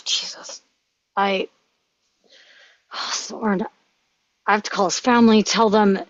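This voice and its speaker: A woman's voice: mid-range voice, fairly even intonation, slow, lightly acted. A woman at a script table read playing a weary, shaken male intelligence official. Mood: rattled. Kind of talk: dramatic script reading and stage directions. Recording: roomy, dull muffled microphone sound.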